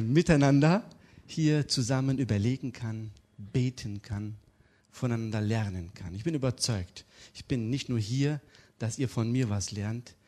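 Middle-aged preacher guy from turkey, jokey storyteller, lively. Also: on the low side. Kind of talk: interview and sermon.

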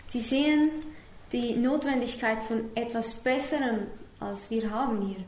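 A woman's voice: on the high side, a little slow, a little mellow. A woman interpreting live, searching for words and correcting herself as she goes. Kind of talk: consecutive spoken interpretation. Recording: echoey room with background noise.

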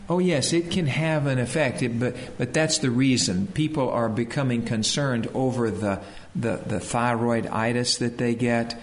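A man speaks slowly at a live talk as a health speaker fielding questions. He moves haltingly, leaving pauses between short phrases so an interpreter can follow. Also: medium pitch, a little hiss or hum.

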